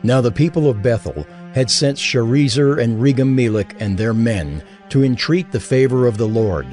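A man's voice: medium pitch, moderate pace, darkish tone, soft background music. A man reading scripture as the narrator of a dramatized audio Bible.

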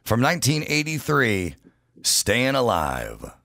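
A man's voice: mid-range voice, huge pitch swings, slow, bright, forceful tone, no echo, clean studio sound. An American man reading scripted announcements as the announcer of a podcast game. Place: indoor podcast studio.

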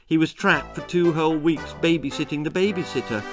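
A man in his sixties reading a children's story aloud as its author, in expressive narration. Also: on the high side, fairly quick, over music. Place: book-lined room indoors.

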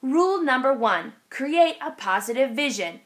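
Animated, high-pitched woman reading a storybook. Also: normal speed. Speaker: storybook reader. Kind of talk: read-aloud of a children's picture book.

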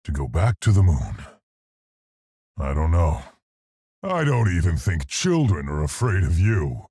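A man voicing a large, earnest, polite and brave gorilla scientist for a video game, gruff and articulate in his delivery. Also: low-pitched, big pitch swings, a little slow, warm tone, no echo, studio quality, full character voice. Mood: calm, awkward. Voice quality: gruff, husky, gravelly. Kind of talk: video game character voice lines.

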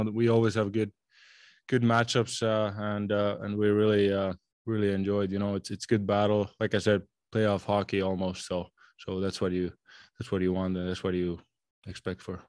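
A man in his twenties, a hockey player answering postgame questions in an arena press room into a table mic, halting and repeating words. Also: on the low side, a little mellow, clear, resonant tone, dry recording. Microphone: table mic in front.

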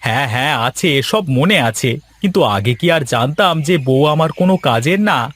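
A man's voice: fairly high pitch, expressive, normal speed, a bit bright. A man voicing an exasperated fisherman husband in a cartoon, grumbling and complaining. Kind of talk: cartoon dialogue acting.

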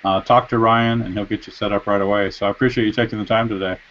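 A man's voice: fairly low pitch, steady tone, fast talker, a bit bright. A man in his forties hosts a live online interview from his home office, upbeat, and he often says "excellent". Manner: upbeat host, repeats "excellent". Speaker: host. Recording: dull lo-fi webcam audio.

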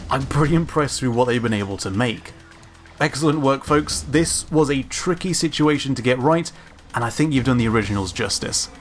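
A man with a medium-pitched voice narrates an analytical video. His scripted commentary is informal, measured and opinionated, with music playing behind him. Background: background music.

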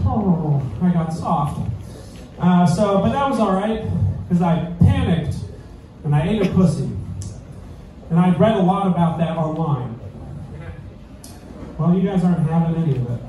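A man's voice: high voice, expressive, normal speed, warm tone, a little hiss or hum. A young man telling stand-up jokes on a small club stage, self-deprecating and laughing between lines, recorded from a distance. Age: young adult. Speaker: stand-up comedian. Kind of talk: stand-up set with crowd roast banter. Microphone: handheld stage mic, filmed from far. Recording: echoey club audio from far off. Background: crowd laughter.

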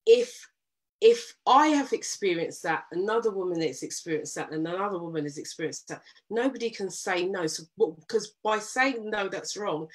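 A woman hosting a relationship talk show, explaining her point at length in a measured, firm way. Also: medium pitch, slightly rough, low-quality recording. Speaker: host.

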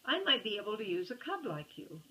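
A woman's voice: mid-range voice, very animated, a little fast, bright, forceful tone, rough recording. Woman doing a sneering bully bear cub. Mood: coaxing. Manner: sneering, taunting. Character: tough mocking big bear cub bully.

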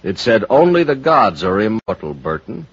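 A pressured American producer in his forties for an old radio drama. His voice is low and husky, with a weary, evasive way of answering. The sound is dull and dated. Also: big pitch swings, moderate pace, dry recording, heavily acted. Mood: uneasy. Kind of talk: 1950s radio drama acting. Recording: muffled old radio recording.

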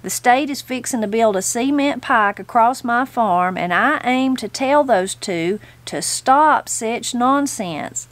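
A woman playing a feisty, high-pitched old country granny. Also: lively intonation, slow, hardly any pauses, no echo. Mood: folksy, determined. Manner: folksy, blunt, exclaiming. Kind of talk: audiobook storytelling character voice.

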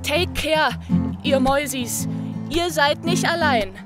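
A woman's voice: sits high, takes it slowly, over loud music. An actress playing a wry, tender-hearted, playful woman talking casually in an audio drama. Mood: earnest, warm. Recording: noisy ambient location sound.

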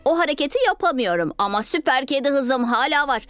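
A high-pitched little boy hero from a cartoon, bold and earnest. He declares things heroically over clear background music. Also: expressive, quick pace, fairly bright tone. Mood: determined. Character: earnest, bold six-year-old boy superhero in cat costume. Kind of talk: animated cartoon dubbing. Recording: music behind the voice.

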